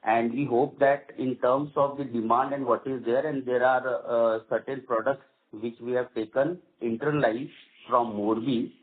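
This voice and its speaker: A man with an Indian accent answering as a company executive on an earnings call, halting and repeating phrases as he goes. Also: medium pitch, fairly even intonation. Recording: dull lo-fi conference call audio.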